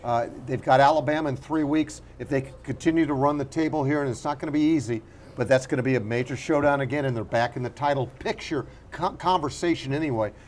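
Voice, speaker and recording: A man's voice: medium pitch, fast, slight background noise. A man co-hosting a sports show, talking conversationally and emphatically, repeating phrases to stress them. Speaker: sports show co-host and analyst. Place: on location at a restaurant.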